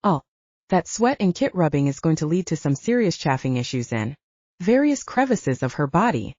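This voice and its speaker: A woman's voice: deep voice, big pitch swings, moderate pace, darkish tone, no room sound, lo-fi sound. A woman narrating an audiobook, reading scripted fiction in a dramatic storytelling style.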